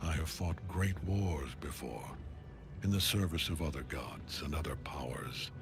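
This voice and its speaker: A man playing a grim older male general who serves a death god, speaking in a measured, cold, brooding way in game cutscene dialogue. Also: a bass voice, expressive, heavily acted. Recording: game audio with loud music.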